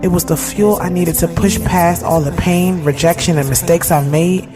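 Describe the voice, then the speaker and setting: A woman acting as a reflective thirty-year-old woman narrates her own story in an audio drama. Her voice sits a little low, and she talks in a confiding, slangy, conversational way. Music plays clearly behind her. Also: steady tone, moderate pace, natural voice. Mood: earnest, determined. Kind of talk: first-person scripted audio drama narration. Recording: loud music bed behind the voice.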